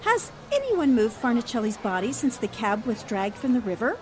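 Woman playing a high-voiced inquisitive 1940s reporter. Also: big pitch swings, moderate pace, darkish tone. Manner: polite, crisply questioning. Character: inquisitive, polite, self-possessed female newspaper reporter. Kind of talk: 1940s radio drama acting. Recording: music and crowd murmur behind the voice.

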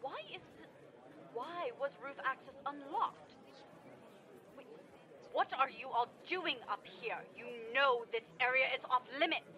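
Actress doing exasperated sharp woman, high pitched and bright, comedy podcast drama. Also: animated, measured pace, highs cut off, some chatter behind, heavily acted. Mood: suspicious, stern.

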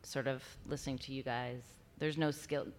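A woman from New York speaking as a guest at a live panel. Her voice is quite low for a woman, and she keeps a dry, self-deprecating, matter-of-fact tone. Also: fairly even intonation, moderate pace. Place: stage before a live audience.

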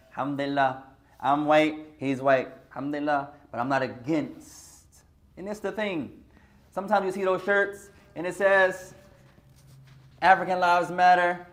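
A man giving an informal religious lesson, talking straight to his audience and mixing in Arabic phrases. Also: on the high side. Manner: conversational, mixes Arabic phrases, addresses audience as brothers. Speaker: Islamic teacher.

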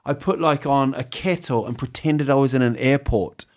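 An English comedian in his fifties talks about himself with a rambling, self-mocking flow. He keeps stopping and restarting, and slips in quick put-on voices for his jokes. His accent is English, with a faint New Zealand touch. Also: medium pitch. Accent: English with a bit of New Zealand. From England. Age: 50s. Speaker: comedian, interview guest. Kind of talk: candid, self-mocking interview chat.

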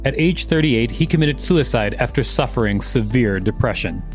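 A man's voice: medium pitch, lively intonation, normal speed, soft background music. A man podcast co-host reading an encyclopedia article aloud and adding commentary.

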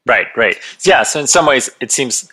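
A man speaking as the host of an academic podcast interview, in a measured, formal register. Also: medium pitch, animated, bright, forceful tone, husky. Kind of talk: podcast interview with scripted introduction.

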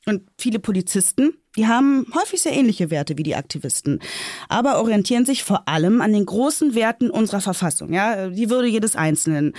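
A woman plays a reflective police officer in an audio drama interview. She explains carefully with halting repeats, in an ordinary mid-pitched voice. The sound is dry and close. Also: big pitch swings, darkish tone, no room sound, lightly acted. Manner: hesitant, explanatory, repeats words, tags with "ja". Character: thoughtful, self-critical female police officer being interviewed. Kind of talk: scripted radio drama interview.

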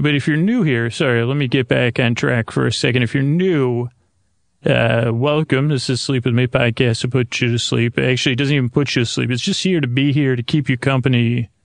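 Creaky-voiced man rambling soothingly. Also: mid-range voice, dry recording, studio quality. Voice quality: creaky, gravelly. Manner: meandering, halting, hesitant, tangent-prone; soothing, lulling. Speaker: podcast host. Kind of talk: rambling bedtime sleep podcast monologue.

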